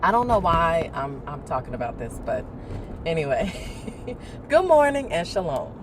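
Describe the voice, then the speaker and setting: A woman records a relaxed morning vlog on her phone. She talks in a loose, reflective way, drifts between thoughts and laughs easily. Her voice sits low and sounds deeper than usual, with faint noise in the background. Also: measured pace. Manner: chatty and reflective, laughs often, rambles. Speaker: vlogger. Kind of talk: casual morning talk. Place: indoors, talking to her phone.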